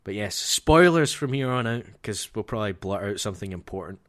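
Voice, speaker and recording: A wry man co-hosts a casual podcast, teasing his friend and laughing easily. His pitch moves a lot, and he sounds close to the mic in a dry room. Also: expressive, fairly quick, fairly bright tone, no echo. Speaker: podcast co-host. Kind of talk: casual chat.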